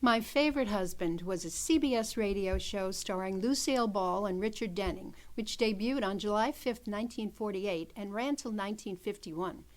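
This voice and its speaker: Female presenter and director, middle pitch, scripted show introduction. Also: moderate pace, few pauses, low-quality recording.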